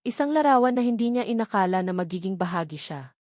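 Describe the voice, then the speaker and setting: A woman's voice: medium pitch, expressive, quick pace, darkish tone, dry recording. Woman narrating a dramatic story, dry close sound. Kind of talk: scripted dramatic audio story narration in Tagalog.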